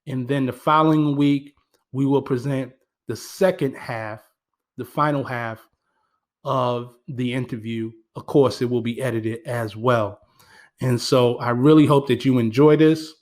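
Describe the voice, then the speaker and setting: A man's voice: mid-range voice, a little slow. Earnest male radio host. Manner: steady, earnest, with frequent fillers. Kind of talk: radio show introduction.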